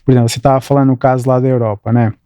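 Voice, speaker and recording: A man's voice: medium pitch, flat delivery, very fast, warm tone, smooth, no echo, studio quality. A middle-aged man with a Porto Portuguese accent, explaining things in an interview, often adding "né" and restarting his phrases. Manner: explanatory, frequent "né" tags and restarts. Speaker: guest engineer. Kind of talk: interview answers.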